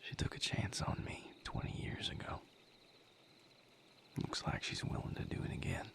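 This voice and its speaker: A man playing a gentle, wry vampire healer in an intimate audio roleplay, speaking to the listener in halting, reflective phrases with a breathy, gravelly near-whisper. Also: close to an everyday voice. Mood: tender, relieved. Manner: halting, reflective, casually profane. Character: male vampire healer, gentle, wry, weary but content lover.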